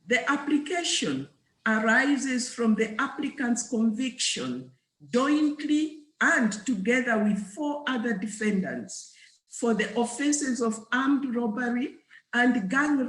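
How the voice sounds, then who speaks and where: A woman reading a court ruling aloud formally as a judge. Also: on the high side. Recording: echoey room.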